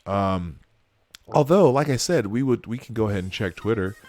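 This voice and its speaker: Low-voiced man rambling on a livestream. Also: expressive, normal speed, darkish tone. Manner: rambling, self-correcting, chuckling. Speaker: livestream host. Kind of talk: casual livestream chat. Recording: background music under voice.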